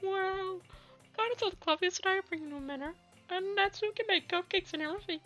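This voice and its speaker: A woman voicing a carefree, clingy anime schoolgirl from a visual novel in a squeaky, whiny falsetto. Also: brisk, a bit bright, faint music behind. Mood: coaxing. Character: carefree bubbly anime schoolgirl, worried, clingy childhood friend.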